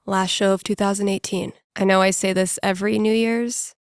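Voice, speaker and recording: A woman's voice: mid-range voice, little pitch movement, moderate pace, clear, resonant tone, dry recording, clean studio sound, natural voice. An actress playing a wry, slangy young woman who DJs and runs tabletop games, in a video game. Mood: wry, casual. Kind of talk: video game character inner monologue.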